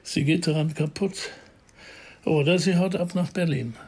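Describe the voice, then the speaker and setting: An actor playing a blunt police veteran who insistently stands up for colleagues in a radio crime drama. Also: male voice, fairly high pitch, moderate pace, darkish tone, husky. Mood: concerned.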